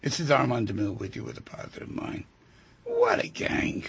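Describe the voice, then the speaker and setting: A man hosting a call-in radio talk show who asks callers gentle, probing questions. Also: mid-range voice, huge pitch swings, a little fast, gravelly, slightly rough, lo-fi sound.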